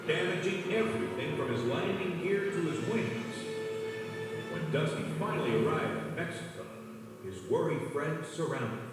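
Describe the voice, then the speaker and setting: A man's voice: on the high side, animated, moderate pace. A man reading a children's read-along story as a scripted narrator. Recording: echoey hall, distant, music behind. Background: music.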